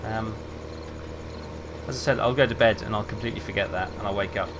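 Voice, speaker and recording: A man's voice: lowish voice, brisk, noisy background. A man who grew up in England chatting casually with viewers while he streams a game. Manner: relaxed, chatty, answers chat while playing.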